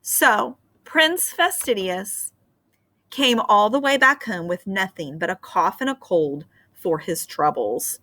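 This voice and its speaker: Bright, expressive woman reading aloud. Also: mid-range voice, slow pace, no echo. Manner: expressive and animated. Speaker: teacher. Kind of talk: storybook read-aloud and lesson. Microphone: webcam on a screen share.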